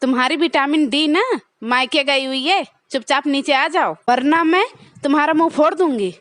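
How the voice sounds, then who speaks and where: A woman's voice: high voice, moderate pace, fairly bright tone. A woman playing a sassy, teasing young wife with a pert, challenging manner in a comedy skit. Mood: threatening.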